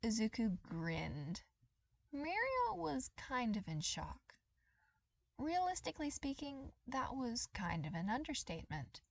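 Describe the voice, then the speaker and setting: A woman reading a story aloud as scripted, expressive dramatic narration. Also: on the high side, takes it slowly, gravelly, no room sound. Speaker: podfic reader.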